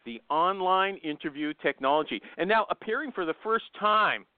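Brash high-voiced male host on phone. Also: expressive, moderate pace, smooth, no room sound. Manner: blunt, teasing, interrupts guests. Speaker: show host. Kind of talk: brash casual call-in show. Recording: tinny phone-line audio.

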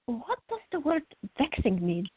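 Woman as a fiery, animated teenage girl, tinny sound. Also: high-pitched, measured pace, breathy edge, exaggerated voice. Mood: indignant. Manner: exclamatory, flustered, muttering to herself. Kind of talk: radio theater acting. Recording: tinny, phone-like narrow band.

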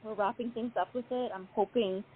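A woman guest chatting in a relaxed, giggly way about her music. Her voice is slightly breathy, and the recording comes through thin and phone-like. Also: on the high side, fairly quick. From United States. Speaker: singer-songwriter guest. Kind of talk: casual interview chat. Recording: tinny phone-like call audio.

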